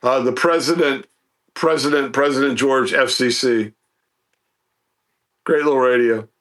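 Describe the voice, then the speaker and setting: Bright-voiced man telling rambling, folksy stories. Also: mid-range voice, a little slow. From United States, Southeastern Virginia. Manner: folksy, rambling, animated storytelling. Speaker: podcast guest, radio hobbyist. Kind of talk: casual chat, anecdotes about a hobby.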